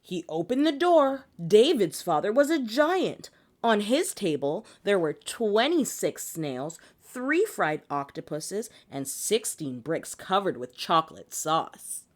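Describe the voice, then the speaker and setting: A woman reading a children's picture book aloud in an animated, theatrical, expressive way. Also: medium pitch, slow. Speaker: children's story reader.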